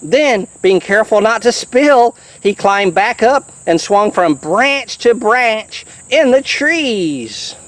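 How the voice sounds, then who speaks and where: A storybook reader takes a children's tale slowly, with a very lively, varied pitch. The delivery is animated and chatty, dropping playful asides to the young listener between passages.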